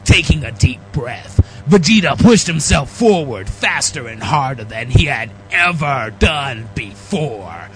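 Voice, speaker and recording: A man reading fan fiction aloud as narrator, in a mock-dramatic, theatrical, drawn-out style. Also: medium pitch, very animated, fairly bright tone, soft background music, poor audio. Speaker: reader, narrator.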